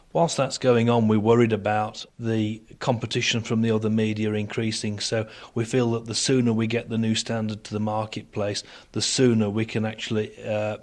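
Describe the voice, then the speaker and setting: A man speaking as an expert guest in a radio interview, explaining things in a measured, formal and articulate way. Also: fairly low pitch. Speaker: interviewed expert on broadcast technology.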